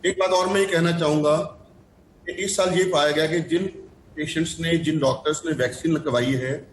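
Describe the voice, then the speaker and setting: Man explaining slowly in an echoey room. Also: on the high side, fairly even intonation, a little hiss or hum. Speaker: doctor guest.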